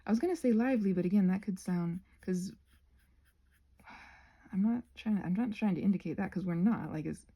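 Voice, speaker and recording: A woman rambling casually as she crafts for her ASMR channel, halting and self-deprecating, with a husky voice that often drops toward a whisper. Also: mid-range voice, warm tone. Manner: halting, meandering, self-deprecating, searching for words.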